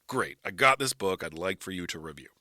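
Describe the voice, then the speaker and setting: Man doing a pushy, chummy literary hustler, bright-voiced. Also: low-pitched, expressive, fairly quick, dry recording, studio quality, full character voice. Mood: chummy, wheedling. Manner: chummy, wheedling, slangy. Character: Rufus, pushy glad-handing nineteenth-century male literary rival. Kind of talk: comic dramatized dialogue in a history story podcast.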